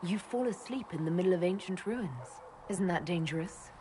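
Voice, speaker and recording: A woman as a low-voiced, earnest elven heroine. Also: normal speed, dark tone, slight background noise, lightly acted. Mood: curious. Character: earnest, resolute female elven mage hero. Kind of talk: fantasy video game voice acting.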